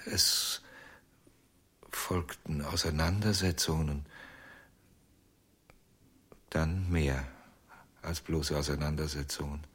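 An actor playing a haunted, obsessive ventriloquist in a German radio drama, speaking hesitantly with many pauses. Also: male voice, extremely low pitch, steady tone, fairly bright tone, slightly rough, exaggerated voice. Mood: confessional. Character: haunted, obsessive male ventriloquist, middle-aged. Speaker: ventriloquist under questioning.

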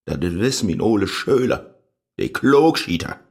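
Casting: self-pitying lovelorn scholar, theatrical Low German verse, unhurried and very animated delivery. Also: male voice, husky, full character voice. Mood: brooding, dreamy. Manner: theatrical, declaiming. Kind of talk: rhymed radio play monologue in dialect.

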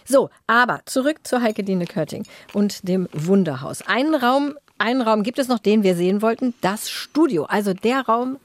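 Lady podcast host, normal pitch, chatty storytelling, really dry close recording. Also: animated, moderate pace, hardly any pauses, no echo.

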